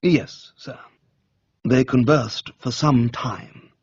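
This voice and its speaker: A man voicing an unflappable, deferential English valet with formal, measured, refined speech in a dramatised book reading. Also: mid-range voice, breathy edge, highs cut off, exaggerated voice. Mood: unruffled.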